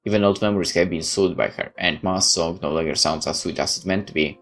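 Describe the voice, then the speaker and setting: A man's voice: low-pitched, a little fast, faint music behind. A man playing a game, reading the story aloud expressively and adding casual asides. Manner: expressive, theatrical. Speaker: let's player.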